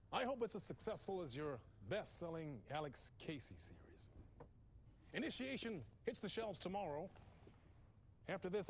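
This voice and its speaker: An actor playing an exuberant, slick late-night TV host in a video game, bantering with showy, effusive chattiness. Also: male voice, high-pitched, vocal fry, a little hiss or hum, heavily acted. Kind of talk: theatrical talk show interview banter.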